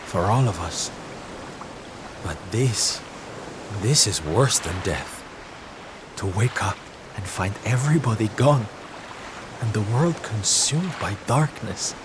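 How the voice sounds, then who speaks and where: A flamboyant, theatrical showman speaks in a game cutscene, dramatic and calling his friend by pet names. His pitch swoops widely while he takes his time over the words. Surf and waves wash loudly behind him. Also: background noise. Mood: despairing. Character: flamboyant theatrical male entertainer, adult. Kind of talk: video game cutscene voice acting.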